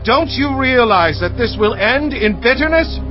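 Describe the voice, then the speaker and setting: A man's voice: extremely high pitch, lively intonation, measured pace. A man playing an embattled, defiant army commander, calling out with strain in a dramatized scripture recording. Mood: desperate pleading. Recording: dull lo-fi audio with music.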